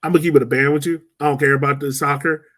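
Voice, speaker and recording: A man's voice: on the high side, steady tone, rapid-fire. A man hosting a casual sports podcast in a joking, informal, slangy way. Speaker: podcast host.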